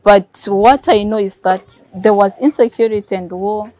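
Young woman from burundi, telling her own story, dry sound, medium pitch. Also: normal speed, darkish tone, dry recording. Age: 20s. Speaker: interviewee. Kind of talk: personal testimony in an interview. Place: outdoors, outside a house in a camp.

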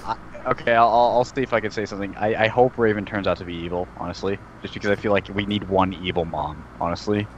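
A young man with a low voice talks casually on a podcast call from home. His delivery is halting and apologetic, restarting often, and clear background noise sits behind him. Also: fairly even intonation, dull audio. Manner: halting, apologetic, self-interrupting. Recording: noisy home video call.

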